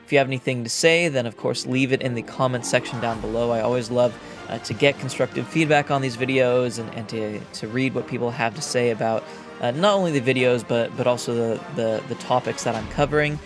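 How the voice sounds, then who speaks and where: A man's voice: mid-range voice, fairly quick. Man hosting casual game commentary over music. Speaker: gaming YouTuber, host.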